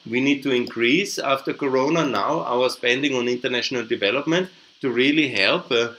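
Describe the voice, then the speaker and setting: A man's voice: medium pitch, a bit bright, slight background noise. A man with an Austrian accent, a native German speaker, giving opinionated, emphatic off-the-cuff political commentary as a podcast host. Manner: emphatic, opinionated, repeats points, tags with "yeah?". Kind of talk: unscripted political commentary over slides.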